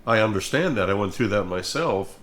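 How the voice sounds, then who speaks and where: Older man with a low, thoughtful voice. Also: lively intonation, fairly quick, a bit bright, a little hiss or hum. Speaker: music minister and host. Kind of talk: reflective conversational talk.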